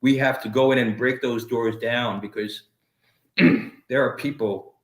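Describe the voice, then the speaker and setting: Middle-aged New York guest, earnest, mid-pitched, echoey room. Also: male voice, fairly even intonation. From Bronx, New York. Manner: earnest, emotional, rushing phrases. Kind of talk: interview conversation. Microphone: video call.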